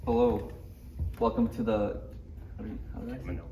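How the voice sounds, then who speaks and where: A roomy, slightly noisy recording holds a man acting an alien cloner in a sci-fi parody. He is soft-edged and mellow, politely salesmanlike and proud of his product, with a hesitant delivery. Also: fairly low pitch, level tone, normal speed, lightly acted. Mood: polite, eager. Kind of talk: live table read of parody script. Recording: echoey room, distant mic, faint noise.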